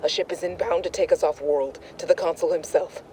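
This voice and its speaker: An actress playing a rattled undercover operative reporting in, terse and urgent, in a video game. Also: female voice, sits low, steady tone, brisk, warm tone, a little hiss or hum, lightly acted, poor audio. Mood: urgent, distressed. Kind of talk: dramatic video game dialogue.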